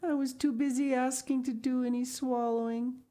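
A woman voicing a small, curious and eager girl in a high, excited child voice. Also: unhurried.

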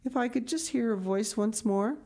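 A woman voicing a poor, frail old grandmother who speaks gently and with a sigh. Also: lowish voice, sing-song, normal speed. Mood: sorrowful. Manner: gentle, sighing and tremulous.

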